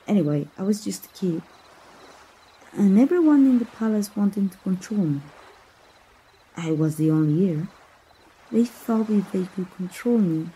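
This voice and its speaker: A woman playing a kind, adventurous young princess in a romantic ASMR roleplay, speaking softly and tenderly with a breathy voice. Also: lowish voice, full character voice, low-quality recording. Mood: gentle, wistful. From Mexico. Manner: soft, hesitant, tender, intimate delivery. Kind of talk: romantic ASMR roleplay, soft intimate acting.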